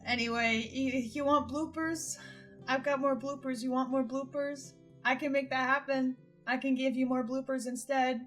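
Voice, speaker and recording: A young woman hosting a casual group chat in an animated, jokey, sweary way. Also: high voice, moderate pace. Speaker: podcast host and director. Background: background music.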